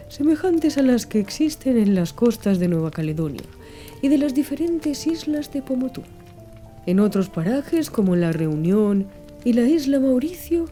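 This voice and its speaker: A woman's voice: a little high, dark tone. A woman reading scripted audiobook narration.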